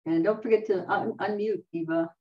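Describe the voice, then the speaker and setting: A woman's voice: medium pitch, fairly even intonation. Woman hosting, distant and muffled. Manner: brisk, warm-toned moderator, moves things along. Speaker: meeting host. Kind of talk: hosting a video meeting. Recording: distant, dull lo-fi video call audio.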